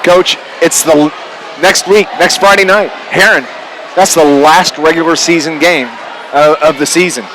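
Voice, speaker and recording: A man's voice: fairly high pitch, steady tone, a little slow, fairly bright tone, a faint crowd, a little hiss or hum, rough recording. A man working as a radio basketball commentator, chatting casually in a postgame interview.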